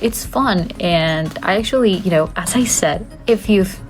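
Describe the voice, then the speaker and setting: A woman's voice: lowish voice, measured pace. Rambling young woman. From Costa Rica. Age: 20s. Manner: chatty, tangents, frequent laughs, casual swearing. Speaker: art student and content creator. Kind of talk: casual rambling to camera. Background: faint background music.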